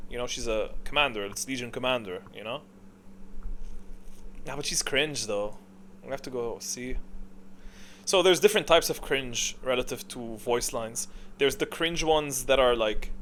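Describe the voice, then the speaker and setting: A man giving casual, off-the-cuff ranking commentary in an informal, opinionated way, often halting to think aloud. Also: mid-range voice, fairly bright tone. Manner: opinionated, informal, mildly profane. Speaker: video creator giving a tier list. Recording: clearly audible background noise.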